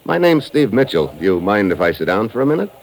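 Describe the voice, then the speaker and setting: An actor playing a hard-boiled, wry American adventurer in a 1950s radio drama, terse and dry, with an American accent. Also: male voice, lowish voice, very animated, fast talker, slight background noise, poor audio. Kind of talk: 1950s radio acting, first-person narration and dialogue.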